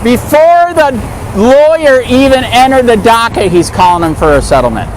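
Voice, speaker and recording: A man in casual street conversation, animated and questioning, quick to agree with the other person. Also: very high voice, rough recording. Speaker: activist filming a street conversation. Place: outdoors on a street near traffic. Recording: loud street and traffic noise.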